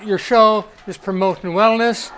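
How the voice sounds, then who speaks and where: Man voicing a folksy gentleman, high voice, genial, chatty. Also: steady tone, a little slow, slight background noise. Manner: folksy, starts lines with "well".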